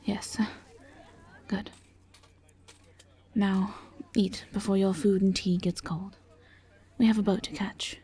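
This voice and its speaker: A woman playing a gentle, reserved vampire in a roleplay audio drama, speaking in a hushed, breathy voice with careful, halting, formal phrasing. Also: mid-range voice, flat delivery, close to an everyday voice. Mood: hesitant, warm. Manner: halting, careful, formal diction without contractions. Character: gentle, reserved female vampire travelling with a knight.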